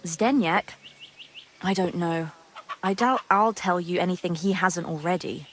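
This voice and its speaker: A woman's voice: on the low side, a little slow, soft background music, close to an everyday voice. A woman playing a gossipy, guarded young monastery maid in a medieval video game drama, secretive and earthy in her talk. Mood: guarded, nervous. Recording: clear background ambient noise.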